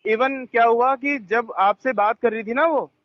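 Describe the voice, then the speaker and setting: Man, very high pitch, insistent, repetitive explaining, tense phone conversation, dull lo-fi call recording. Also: unhurried. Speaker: resort manager on a phone call. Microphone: telephone.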